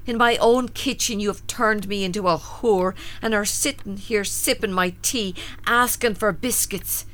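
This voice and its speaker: A woman acting an Irish mother in a radio play. She speaks slowly and intensely, with a lyrical storytelling lilt. She is haunted and fiercely protective of her child. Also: medium pitch, a bit bright, a little hiss or hum, lightly acted. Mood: bitter, defiant. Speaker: actor. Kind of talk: radio drama monologue and dialogue.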